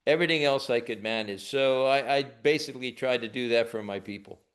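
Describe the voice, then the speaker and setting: A middle-aged American man, a leadership expert, answering questions in a webinar interview in a relaxed, conversational way with frequent restarts. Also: mid-range voice, fairly quick. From United States.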